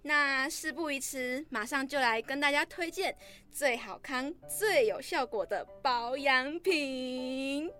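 A woman acts out a young female livestream seller in a radio drama, very high and bright in pitch and tone. She is bubbly and vain, coy with her fans and gushing over skincare, with music playing behind her. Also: slow, full character voice. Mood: sweet sales pitch. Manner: cutesy, coy, gushing sales patter.

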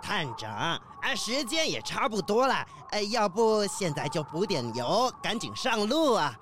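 A woman playing a fussing, excitable animal character who urges others along in a children's audio drama. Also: mid-range voice, a little slow, background noise. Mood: placating.

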